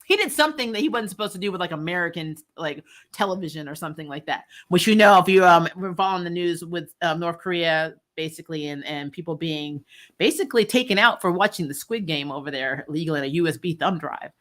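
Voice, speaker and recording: A woman hosting a casual fan livestream, talking in an animated, blunt, jokey way with some swearing. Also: on the low side, quick pace. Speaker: show host reviewing superhero TV and reading live comments. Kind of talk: casual livestream chat, rambling fan commentary.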